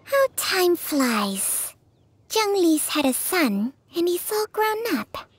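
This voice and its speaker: A woman voicing a small, reserved snake companion in a video game, with a measured, formal way of talking. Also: extremely high pitch, sing-song, slight background noise, full character voice. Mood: wistful. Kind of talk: video game dialogue acting.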